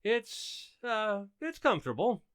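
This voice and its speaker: A man's voice: high-pitched, sing-song, slow pace, a bit bright, clean tone, dry recording, studio quality, full character voice. A man playing a well-meaning, flustered suburban husband in a radio sitcom, hesitant, earnest and pleading. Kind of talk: old-time radio sitcom acting.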